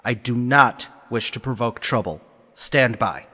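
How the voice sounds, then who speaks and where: A man's voice: medium pitch, lively intonation, measured pace, bright, forceful tone, slightly rough, full character voice. An actor playing a stern, wary male starship captain in a sci-fi radio drama, with a clipped, commanding manner. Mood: urgent command. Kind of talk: golden age sci-fi radio drama acting.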